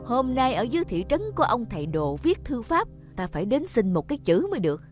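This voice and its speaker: A woman's voice: a little high, very animated, brisk. A woman voicing a vain, boastful, short-tempered rich old man in a cartoon, scolding and bragging. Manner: boastful, scolding, bursts into shouting. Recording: music behind the voice.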